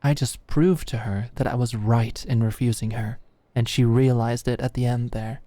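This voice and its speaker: A woman's voice: extremely low pitch, fairly even intonation, moderate pace, warm tone, no echo. A woman playing a cocky, adoring young British businesswoman in a scripted audio roleplay, smug and teasing. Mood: smug, confident. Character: Alex, cocky, adoring young queer businesswoman celebrating with fiancée.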